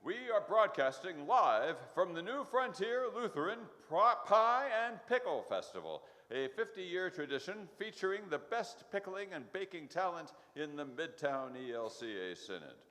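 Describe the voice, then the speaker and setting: A man's voice: high-pitched, sing-song, normal speed, hardly any pauses, bright, forceful tone, in a large hall, full character voice. Man acting a grand radio announcer, big swooping voice. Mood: chatty. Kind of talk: old-time live radio show announcing and scripted banter.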